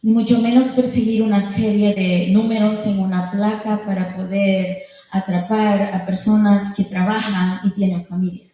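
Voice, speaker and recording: A woman with a Spanish accent makes a public comment as a union member. Her voice is mellow and mid-pitched, and she speaks in short passages. The meeting room rings with heavy echo. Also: flat delivery, moderate pace. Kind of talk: public comment speech with pauses for an interpreter. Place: indoor public meeting hall.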